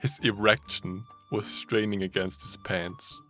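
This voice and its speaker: A man's voice: lowish voice, fairly even intonation, unhurried, a bit bright, soft background music. A man reading a fanfiction story aloud as a dramatic narrator, mock-serious and often amused, with joking asides. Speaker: story reader.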